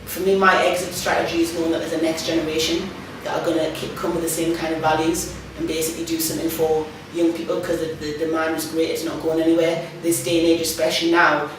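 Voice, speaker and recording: A woman's voice: sits low, flat delivery, fast talker, noisy background. A woman youth worker, reflecting in a loose interview with many fillers. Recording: echoey room, mic at a distance.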